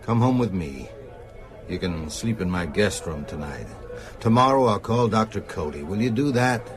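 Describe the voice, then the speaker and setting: An actor playing a concerned, gently probing gentleman in a radio drama, earnest and questioning. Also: fairly low pitch, animated, normal speed, over music, close to an everyday voice.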